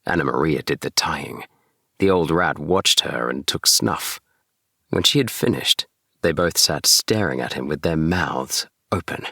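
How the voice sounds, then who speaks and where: A man narrating a bedtime audiobook in a gentle, soothing storytelling manner. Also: very deep voice, expressive, measured pace, vocal fry, no echo, studio quality. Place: small DIY recording booth.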